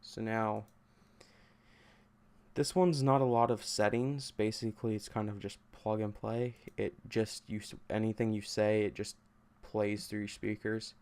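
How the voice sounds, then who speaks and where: A man hosting a casual, unscripted software tutorial, thinking aloud in a halting way as he goes. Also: lowish voice, flat delivery.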